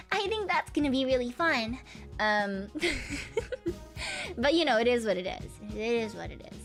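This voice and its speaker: Giggly young woman rambling on stream. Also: high-pitched. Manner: rambling, giggly, lots of hums and fillers, casual swearing. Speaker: VTuber streamer. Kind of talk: casual stream chat.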